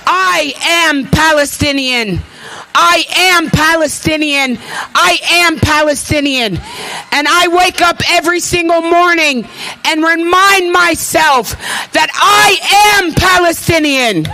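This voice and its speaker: High, bright-voiced impassioned woman activist. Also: slow pace, slight background noise. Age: 30s. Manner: emphatic, repetitive, emotional. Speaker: activist speaker at a rally. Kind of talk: impassioned rally speech. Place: at a rally before an audience.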